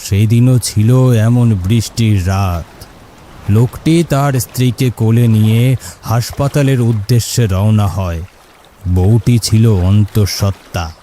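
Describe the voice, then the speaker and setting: A man's voice: mid-range voice, mellow, a little hiss or hum, lightly acted. A man voicing a village boatman in a horror cartoon, speaking solemnly as he tells a tale. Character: village boatman, calm and grave storyteller. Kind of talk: Bengali horror cartoon voice acting.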